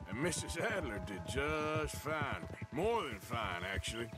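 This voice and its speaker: A man playing a gruff, wry outlaw gunslinger in a Western game, with an American Southern drawl and a dry, folksy manner. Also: mid-range voice, big pitch swings, a little slow, over music. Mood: conversational. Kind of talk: video game cinematic acting.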